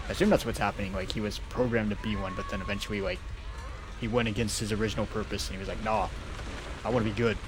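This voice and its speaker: A man's voice: on the low side, noisy background. A man streaming a game, commenting casually and reading text aloud in an informal, rambling, jokey way. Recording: music behind the voice.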